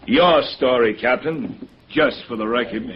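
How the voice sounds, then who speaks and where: A man's voice: medium pitch, a little slow, lightly acted. An actor playing a firm, official chairman of an inquiry board in a radio drama, formal and authoritative. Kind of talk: 1950s radio drama acting. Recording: dull, hissy vintage radio recording.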